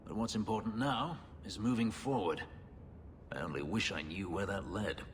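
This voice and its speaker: Game role: bitter ex-slave elf warrior played by a man, very low solemn voice, reverberant hall sound. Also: lightly acted. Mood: bitter, earnest. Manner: brooding, solemn, measured. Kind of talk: dramatic video game acting. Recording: long hall reverb, background noise.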